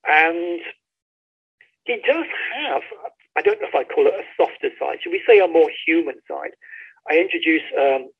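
A man's voice: fairly high pitch, breathy edge. A man speaking as an author being interviewed, talking in an articulate, storytelling way over a dull, lo-fi line. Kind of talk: conversational book interview.

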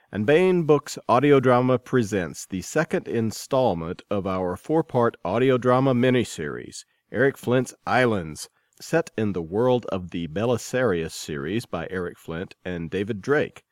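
A man's voice: lowish voice, dry recording, studio quality. A man reading scripted narration as the host and editor presenting a podcast.

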